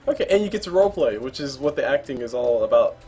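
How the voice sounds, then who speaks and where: A man's voice: mid-range voice, animated, quick pace, mellow, slightly rough, soft background music. Joking, chatty male interviewer. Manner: joking, chatty, laughs often. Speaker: interview host. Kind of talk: casual interview chat.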